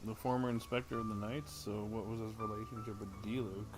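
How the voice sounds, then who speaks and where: A man's voice: lowish voice, little pitch movement, fairly quick, over music, poor audio. A man livestreaming a video game, reacting and commenting casually as the story unfolds.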